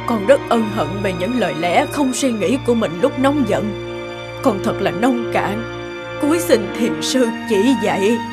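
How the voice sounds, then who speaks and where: An old lady in a cartoon folk tale, humble and compassionate. Her voice sits much lower than a girl's, with music behind it. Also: animated, measured pace. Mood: humble regret. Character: compassionate old woman of a noble household, humble.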